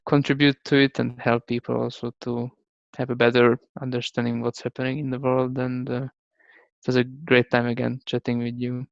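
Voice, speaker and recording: Creaky-voiced man with a Hungarian accent. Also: mid-range voice, monotone, normal speed, lo-fi sound. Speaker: podcast guest. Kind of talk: casual conversational interview.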